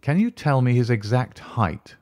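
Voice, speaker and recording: Man playing a calm commanding detective. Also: medium pitch, dry recording, clean studio sound, voice pushed up. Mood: measured. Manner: measured, commanding. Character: calm incisive male detective.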